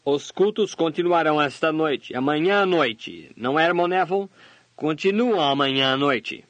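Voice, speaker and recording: A man voicing a sermon translation, delivering it steadily and measured. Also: on the high side, a bit bright, dry recording, muffled recording. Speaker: sermon interpreter voice-over. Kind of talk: translated sermon read aloud.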